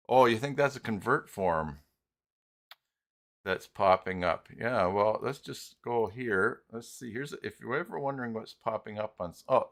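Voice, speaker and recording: A low-pitched man hosts an unscripted screen-share tutorial at a very unhurried pace. His voice has a crackly fry, and he talks himself through each step in a casual, thinking-aloud way, with little muttered filler syllables. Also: a bit bright. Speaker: live stream host and web tutorial presenter.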